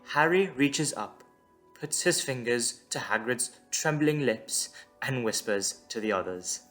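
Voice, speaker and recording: A man's voice: medium pitch, lively intonation, measured pace, fairly bright tone. A man reading scripted dramatic narration as the narrator of an audio drama. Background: music.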